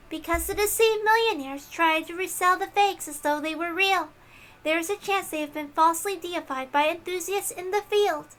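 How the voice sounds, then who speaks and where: A woman's voice: very high voice, a bit bright, voice pushed up. A woman voicing a prim, ruthless young female inquisition officer, formal and coldly dutiful, in a visual novel read-aloud. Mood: formal report.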